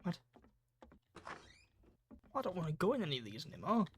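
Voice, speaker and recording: A man's voice: on the high side, wildly swooping pitch, a little fast, a little hiss or hum. A man hosting a gaming video, reading story text aloud dramatically with casual asides.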